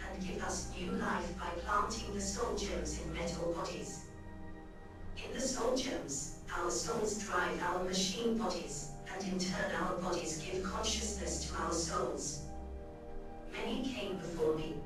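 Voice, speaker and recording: An ancient mechanical woman with a grave, formal manner, speaks very slowly in a lowish voice. The sound is drenched in echo, like a solemn game character telling old history. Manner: measured, grave, formal storytelling. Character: ancient female machine being, solemn soul in gilded metal body. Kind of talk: scripted game character dialogue. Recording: very echoey, distant, music behind.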